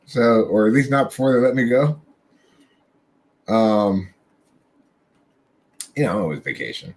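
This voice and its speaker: A man's voice: lowish voice, lively intonation, unhurried. A young man hosting a livestream chat, telling a rambling, profane story and laughing along the way. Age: young adult. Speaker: podcast host.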